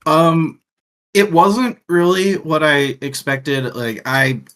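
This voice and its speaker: A man's voice: fairly high pitch, lively intonation, slow pace, fairly bright tone. A young man co-hosting a casual book discussion show, rambling through his thoughts with frequent laughs and the odd crude joke. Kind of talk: casual chat.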